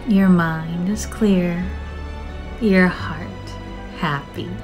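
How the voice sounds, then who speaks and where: A woman narrating a scripted guided meditation in a soothing, measured, gentle manner with drawn-out phrases. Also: on the low side, fairly even intonation. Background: soft music.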